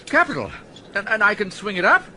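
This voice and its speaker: Flustered elderly aristocrat: high, bright, fussy and blustering. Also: male voice, big pitch swings, fairly quick, a little hiss or hum, exaggerated voice. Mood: pottering.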